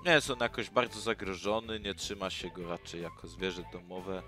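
A man's voice: low voice, fairly even intonation, moderate pace, bright, forceful tone, soft background music. A young man hosting a nature channel, narrating animal facts in an informal, explanatory way, often correcting himself mid-phrase. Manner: casual, with fillers and self-corrections.

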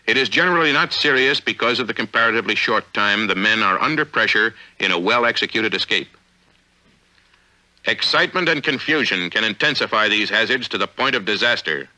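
An American man reading formal, measured, authoritative narration for a 1950s instructional film, on an old film soundtrack. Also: on the low side, pushed and bright, poor audio. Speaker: narrator of a military training film.

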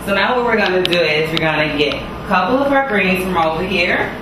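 A woman's voice: on the low side, expressive, fairly quick, noisy background. A woman hosting a cooking demonstration in a kitchen, talking in a chatty, folksy way as she walks viewers through each step. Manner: chatty, folksy, repeats "gonna go ahead". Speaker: cooking show host. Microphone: distant mic. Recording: echoey distant room with loud music. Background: loud music.

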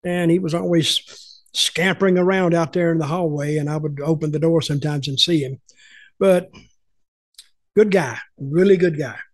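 A man's voice: on the high side, normal speed, dry recording. An older man, telling folksy, opinionated stories in casual chat over a video call from home. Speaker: podcast host and storyteller.

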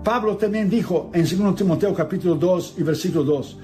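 A man's voice: high-pitched, brisk, mellow. Man preaching earnestly over faint music. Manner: earnest preaching, reads Bible verses aloud in solemn cadence. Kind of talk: sermon.

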